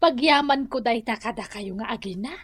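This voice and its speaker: A woman's voice: fairly high pitch, moderate pace, husky, dry recording. An actress with an Ilocano accent playing an ailing, grateful elderly mother in a radio drama, speaking weakly and plaintively. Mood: grateful, tender.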